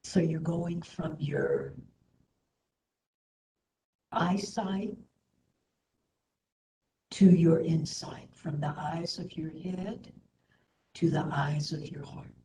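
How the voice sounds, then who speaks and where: A woman instructor leading a guided meditation in a soothing, gentle manner, with hushed phrase endings. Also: low-pitched, big pitch swings, drawn-out words, pauses often. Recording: roomy echo, faint background noise.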